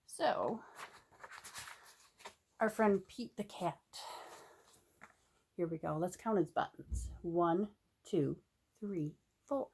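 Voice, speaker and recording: A woman reading a picture book aloud like a teacher to young children, animated and drawing out words, with questions to the listener and sing-song phrasing. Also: on the low side, vocal fry, breathy edge.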